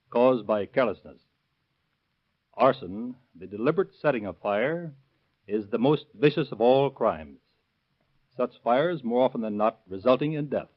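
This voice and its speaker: Guy reading an official speech, stiff n formal, average pitch, old dull 1930s radio sound. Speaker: fire department captain, guest speaker. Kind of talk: formal scripted address. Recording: muffled vintage 1930s radio recording.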